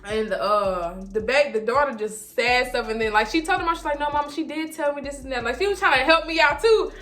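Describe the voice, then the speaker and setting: A woman vlogger telling a casual story in an animated, emphatic, slangy way, often repeating herself. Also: high-pitched, fairly quick. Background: background music.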